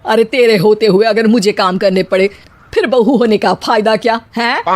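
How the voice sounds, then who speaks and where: A woman's voice: a little high, moderate pace, darkish tone, lightly acted. A woman voicing a bossy, bickering mother-in-law in a cartoon. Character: bossy middle-aged mother-in-law, scared of bugs. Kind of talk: cartoon voice acting.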